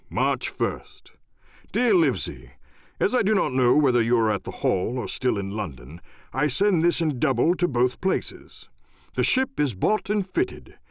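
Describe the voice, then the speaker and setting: Man acting a pompous, excitable country squire, swooping pitch. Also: no echo, studio quality. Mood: proud, excited. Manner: effusive, exclamatory, pompous.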